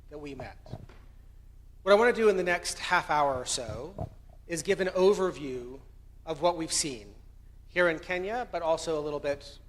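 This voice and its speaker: An American-accented man presents research at a conference in an earnest, conversational way, sometimes correcting himself mid-sentence. His voice sits a little high for a man, and it rings with the long reverb of a big hall. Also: fairly bright tone. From Colombia.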